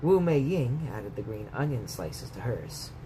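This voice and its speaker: A man's voice: mid-range voice, sing-song, unhurried. A man reading a bedtime story aloud as a storyteller, in scripted narration. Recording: clear background noise.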